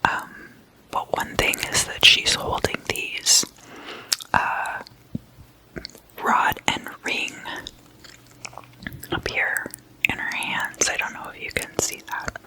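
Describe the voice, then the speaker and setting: An ASMR host speaking in a breathy, unvoiced whisper, informal and playfully chatty. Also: slow, long pauses, low-quality recording. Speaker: ASMR host explaining demon folklore.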